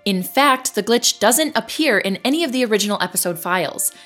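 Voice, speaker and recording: An actress playing a determined podcast host who earnestly addresses listeners and defies a stalker in an audio drama. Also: female voice, mid-range voice, animated, a little fast, a bit bright, faint music behind, close to an everyday voice. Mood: apologetic, resolute.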